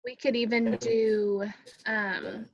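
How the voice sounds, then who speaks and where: A woman's voice: a little high, level tone, slow. A woman coordinating schedules in a casual meeting on a video call, thinking aloud hesitantly. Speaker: activities coordinator scheduling rehearsals. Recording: slightly dull video-call audio.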